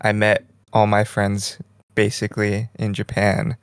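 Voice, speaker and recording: A young man co-hosting a podcast in casual chat, rambling in a relaxed way and saying "like" constantly, lying in bed in a small bedroom. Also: fairly low pitch, measured pace, smooth, dry recording, clean studio sound. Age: young adult. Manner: relaxed, rambling, heavy use of "like". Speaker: podcast co-host.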